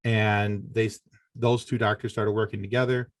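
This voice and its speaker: A man's voice: on the low side, level tone, dry recording. A middle-aged man telling a personal story as a podcast guest, talking conversationally and often stopping to correct himself. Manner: halting, conversational, self-correcting delivery.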